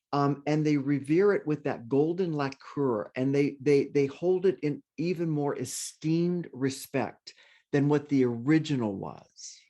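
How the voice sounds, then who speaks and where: A man's voice: medium pitch, darkish tone. A man presenting an online workshop in casual talk, friendly and rambling, often restarting his sentences. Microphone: video call.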